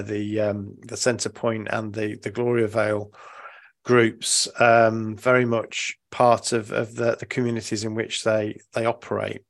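Male podcast host, halting and encouraging, lots of fillers, mid pitch. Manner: hesitant, many fillers and restarts, encouraging. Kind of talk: podcast interview.

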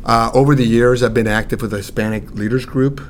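A middle-aged or older man with an American accent, giving measured, explanatory answers as an interview guest. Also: fairly even intonation. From Saint Louis, United States. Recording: noisy with low rumble.

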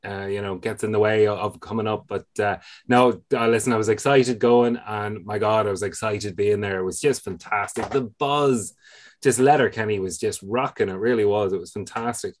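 A man co-hosting a podcast, talking enthusiastically and trailing off quieter. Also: fairly low pitch. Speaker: podcast co-host. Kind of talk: casual podcast chat.

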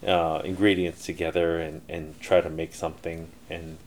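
A man's voice: sits low, little pitch movement, normal speed, a little hiss or hum. A middle-aged man telling his life story in a casual interview, rambling with many fillers and restarts. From Canada. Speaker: interview guest, entrepreneur.

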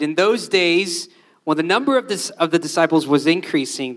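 A man's voice: a little high, no echo. A man born in Honduras, a Spanish speaker, preaching a conversational sermon. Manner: conversational, tag questions.

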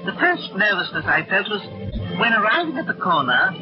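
An actress plays an anxious, polite American housewife in a vintage radio thriller. Her delivery is earnest, breathless and dramatic. The broadcast sounds muffled, with loud music behind it. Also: medium pitch, big pitch swings, normal speed, lightly acted. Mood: earnest narrating. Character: anxious, polite housewife narrating her own suspense tale. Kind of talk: 1950s radio drama acting, first-person narration.

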